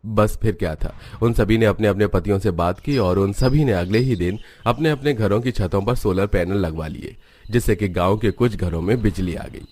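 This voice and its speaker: A man's voice: on the low side, normal speed, darkish tone, breathy edge. A man narrating a scripted cartoon village story.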